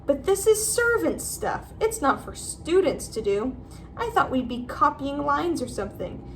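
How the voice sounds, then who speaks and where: A woman's voice: high voice, very animated, a little slow, slight background noise, pitched above the performer's own voice, full character voice. A woman voicing a haughty, frightened, petulant schoolboy in a storybook. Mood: indignant panic. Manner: petulant, protesting.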